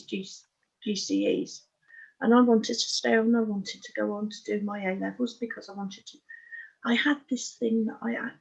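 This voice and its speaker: A woman in her sixties with an English accent, telling her life story as an interview guest in a frank, halting, matter-of-fact way. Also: on the high side, creaky, slightly rough, highs cut off. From Oxfordshire, England. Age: 60s. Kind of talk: candid personal life story in conversation.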